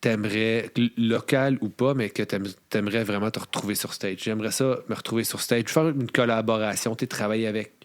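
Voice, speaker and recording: A man's voice: lowish voice, steady tone, brisk, hardly any pauses. Informal Quebec French male podcast host. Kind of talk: casual chat.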